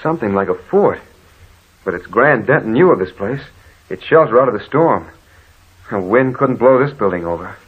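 An actor playing a concerned, steady brother in an old radio horror drama, trying to reason and reassure. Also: male voice, fairly low pitch, moderate pace, husky. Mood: relieved. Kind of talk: vintage radio horror acting. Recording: dull old-time radio recording.

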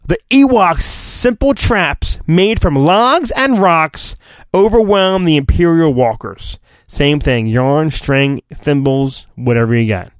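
Man, high-ish voice, lively intonation, theatrical storybook reading, sarcastic rambling asides. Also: takes it slowly, no echo. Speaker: solo podcast host. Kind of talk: mock-dramatic storybook reading with comic asides.

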